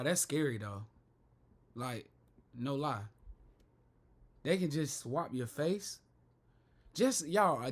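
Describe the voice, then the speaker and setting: Hyped, slangy reaction host. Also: male voice, medium pitch. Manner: slangy, hyped, repeats "man" and "I ain't gonna lie". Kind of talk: casual reaction commentary.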